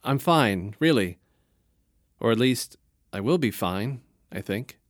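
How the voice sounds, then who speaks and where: A man's voice: mid-range voice, lively intonation, slow pace, no echo, clean studio sound. A man doing a weary young monk. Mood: reassuring. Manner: weary, glum. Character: tired, guilt-ridden young male monk.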